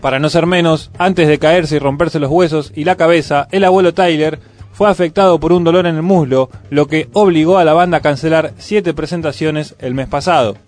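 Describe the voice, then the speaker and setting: A man's voice: a little high, moderate pace, a little mellow. A man with an Argentine Rioplatense accent reading scripted news on the radio, as a presenter. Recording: music playing under the voice. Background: music bed.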